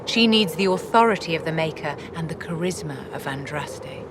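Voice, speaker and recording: Casting: haughty game aristocrat, low register, poised and condescending, over clearly noisy ambience. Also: female voice, expressive, moderate pace. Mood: grave, instructive. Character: imperious, elegant court lady, poised and calculating. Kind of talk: dramatic video game acting.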